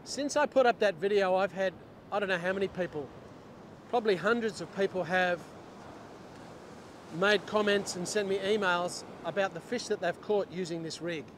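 A middle-aged man with a fairly high voice talks through his beach fishing in a steady, step-by-step way. He is on a lapel mic on an open beach, with wind and surf clearly heard underneath. He sounds like a fishing instructor working with his hands as he explains. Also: moderate pace.

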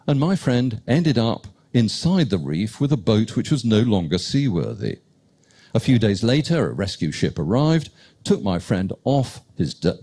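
Wry man telling an anecdote, husky and mellow. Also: lowish voice. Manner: lively, wry anecdote delivery. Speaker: cruise ship lecturer. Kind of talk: storytelling lecture.

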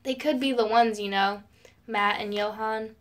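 A woman's voice: mid-range voice, steady tone, measured pace, close to an everyday voice. A woman playing a chatty, romantic teenage girl in an amateur audio drama. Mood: relaxed.

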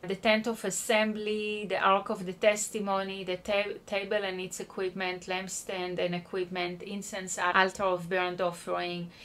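A woman's voice: mid-range voice, little pitch movement, normal speed, hardly any pauses, fairly bright tone. A woman teaching an online Bible study, explaining patiently and checking in with her listeners. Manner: explanatory, spells out words, checks in with "okay?".

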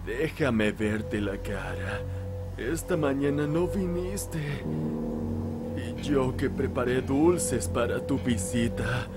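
A man's voice: medium pitch, animated. A man voicing a creepy middle-aged monster woman who calls out coaxingly and insistently, with a Latin American accent, in a Spanish horror anime dub. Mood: sinister insistence. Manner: slow, drawn-out, insistent calling. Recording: loud music over the voice.

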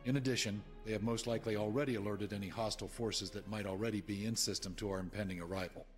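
A man's voice: on the low side, fairly even intonation, quick pace, lightly acted. An actor playing a resolute starship commander making a formal, solemn address to his crew in a video game. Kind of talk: scripted military announcement in a video game. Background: music.

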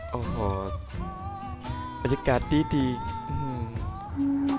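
A man dubs a cartoon for comedy in an exclamatory, slangy way. His pitch is middling but swings wildly and theatrically. Loud music plays behind him. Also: wildly swooping pitch, extremely slow. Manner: exclamatory, crude slangy wording; theatrical, often jumps into shrieks and falsetto. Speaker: comic dubber of a cartoon. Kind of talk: improvised comedic voice-over dubbing.

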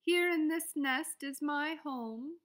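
A woman's voice: sits high, takes it slowly, a bit bright, pitched above the performer's own voice. A woman voicing a cheerful little robin from a storybook, chirping in a sung manner. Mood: cheerful, chirpy.

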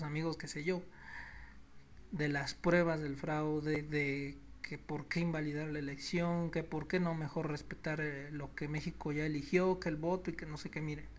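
A man's voice: fairly high pitch, slight background noise. A man with a Mexican accent giving an indignant, sarcastic news commentary monologue as a political blogger. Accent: Mexican Spanish. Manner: speaks to listeners as "you all".

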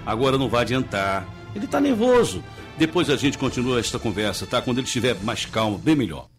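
An actor playing an easygoing, placating Brazilian village husband in a radio soap. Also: male voice, medium pitch, very animated, fast, faint music behind, lightly acted. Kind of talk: radio soap opera acting.

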